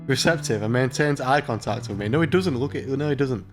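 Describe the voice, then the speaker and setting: A man's voice: mid-range voice, fairly quick. A man reading a visual novel aloud as a let's play host, with mocking, sweary asides. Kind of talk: joking commentary and read-aloud of a visual novel. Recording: music behind the voice. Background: guitar music.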